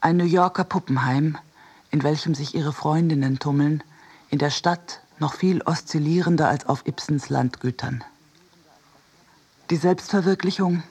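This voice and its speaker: A reader delivering a scripted literary essay for radio in a measured, deliberate way. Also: medium pitch, darkish tone, breathy edge.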